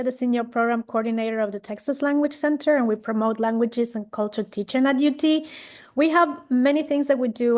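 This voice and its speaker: Woman coordinator with a somewhat high voice, friendly and informal, video-call audio. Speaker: program coordinator. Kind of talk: brief introduction at a panel.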